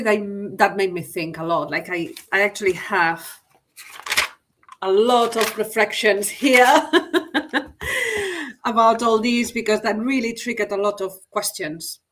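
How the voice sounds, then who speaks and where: A woman from Spain with a Spanish accent, speaking as guest co-host in a relaxed, reflective way. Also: medium pitch. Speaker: guest co-host, leadership coach. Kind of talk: casual conversation.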